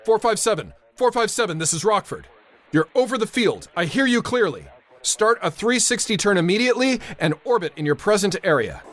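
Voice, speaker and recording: An actor playing a tense, authoritative airfield controller in a radio drama, narrating and giving orders with clipped urgency. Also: male voice, high voice, sing-song, fairly quick, fairly bright tone. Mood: urgent alarm. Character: tense, commanding male airfield tower controller narrating a crisis.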